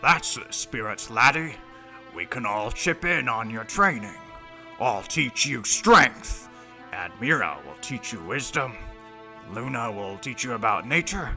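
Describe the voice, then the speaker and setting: An actor playing a gruff, hearty older warrior in a fantasy audio drama, with boisterous, grandiose old-fashioned talk. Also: male voice, medium pitch, big pitch swings, unhurried, pushed and bright, soft background music, full character voice. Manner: boisterous, old-fashioned grandiose phrasing, calls others laddie. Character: gruff, hearty older warrior rebel, man, boisterous yet secretly grieving.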